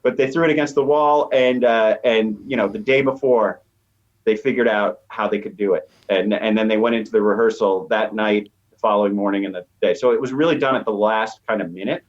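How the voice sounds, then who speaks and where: A man's voice: lowish voice, fairly quick. Dull lo-fi recording, male expert explaining. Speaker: expert guest, media professor. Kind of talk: conversational explanation. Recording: slightly dull, lo-fi line.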